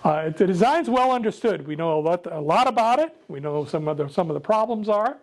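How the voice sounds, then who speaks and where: An older man with a loud voice gives a casual, jokey talk to a club meeting, speaking without a microphone. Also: high-pitched, animated, fairly quick. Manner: jokey, self-deprecating, chatty with laughs. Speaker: guest speaker.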